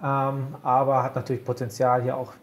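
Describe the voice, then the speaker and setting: Calm man walking through stock charts. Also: medium pitch. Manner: measured, walks through charts point by point. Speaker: co-host of a broker's market show. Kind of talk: casual market chat over charts.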